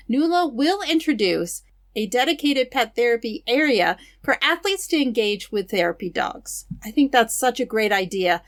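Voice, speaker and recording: Woman cohost reading skating news, steady matter-of-fact, casual asides. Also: on the high side. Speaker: podcast co-host.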